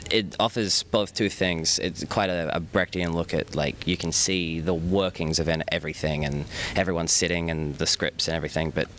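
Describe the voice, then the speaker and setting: A man's voice: sits low, fairly even intonation, normal speed, hardly any pauses, a bit bright, a little hiss or hum. A man, a voice actor answering in a hesitant way, searching for words. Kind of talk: casual interview.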